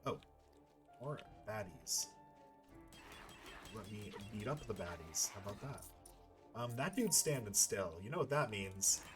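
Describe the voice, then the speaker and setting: A man's voice: lowish voice, expressive, unhurried, long pauses, music in the background. A man giving casual let's-play commentary with wry, joking asides as he plays a video game. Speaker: video game player and host.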